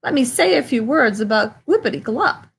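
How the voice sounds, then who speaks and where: A croaky, wheezy little old forest creature, as a woman reading a storybook puts him on, fussy and indignant. He scolds in a raised, pinched voice that climbs well above a plain speaking voice. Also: on the high side, expressive, normal speed, slight background noise, voice pushed up. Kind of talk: reading a picture book aloud.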